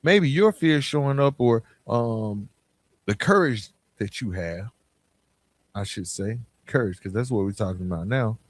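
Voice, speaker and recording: A man's voice: on the low side, animated, unhurried. A man hosting a motivational podcast, speaking earnestly and emphatically in colloquial language straight to the listener. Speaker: podcast host.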